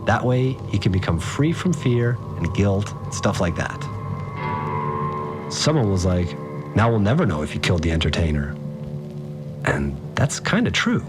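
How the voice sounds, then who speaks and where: A man's voice: sits low, sing-song, normal speed, long pauses, over loud music. An actor playing a rattled, slangy, laid-back guy, telling his story conversationally in an audio drama. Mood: agitated, baffled. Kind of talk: informal first-person storytelling in audio drama.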